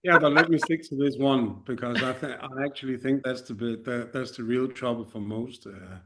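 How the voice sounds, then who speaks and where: A man's voice: mid-range voice, moderate pace, sharp tone, slight background noise. A man in his forties with a Danish accent, a podcast guest talking frankly and self-deprecatingly in a casual interview, with plenty of fillers and restarts. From Denmark. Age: 40s.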